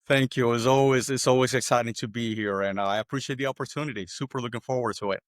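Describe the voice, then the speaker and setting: Man from El Salvador, podcast guest, earnest, repeats himself, mid-pitched voice, dry recording. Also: fairly even intonation, quick pace, dry recording. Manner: earnest, repeats and restarts words, tags points with "right?". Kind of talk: conversational interview storytelling.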